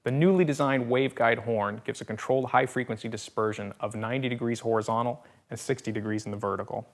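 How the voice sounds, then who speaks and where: A man's voice: mid-range voice, steady tone, quick pace. A man giving a polished, scripted product narration as a presenter, with a salesy delivery and punchy quips.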